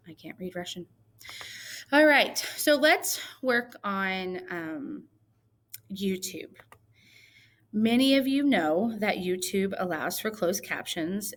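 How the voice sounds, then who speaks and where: A woman's voice: mid-range voice, fairly bright tone. Chatty woman teacher explaining a tool. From Missouri, United States. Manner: chatty, explanatory, with frequent fillers. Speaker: ELL coordinator and teacher. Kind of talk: screen-recorded tutorial.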